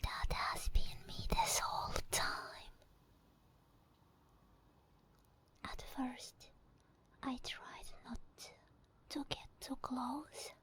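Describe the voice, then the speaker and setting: A woman voicing an obsessed, possessive female sleep paralysis demon in an ASMR roleplay, in a breathy, teasing, intimate whisper. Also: high voice, level tone, slow pace, fairly bright tone.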